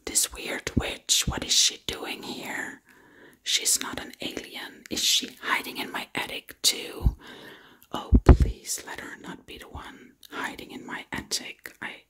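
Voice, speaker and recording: A woman doing whispered ASMR roleplay in a breathy whisper, repeating words over and over. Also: takes it slowly, poor audio. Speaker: ASMR video creator.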